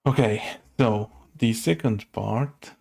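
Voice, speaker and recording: A man talks himself through a coding screencast at an extremely slow pace. His voice is heavily creaky and on the mellow side. He sounds unsure, muttering in short, broken fragments. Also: on the low side. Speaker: game development tutorial host. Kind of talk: casual think-aloud coding screencast.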